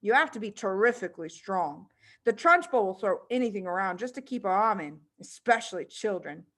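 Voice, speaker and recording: A woman's voice: mid-range voice, animated, brisk, fairly bright tone. A woman voicing a knowing schoolgirl in a children's storybook, confiding and emphatic as she dramatically gossips. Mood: knowing, relishing.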